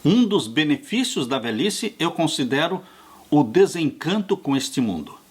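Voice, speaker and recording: A man in his sixties, a pastor, preaching an earnest and unhurried sermon that addresses his listeners tenderly as dear ones. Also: fairly high pitch, very animated, slightly rough. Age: 60s. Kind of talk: sermon on a Bible study lesson.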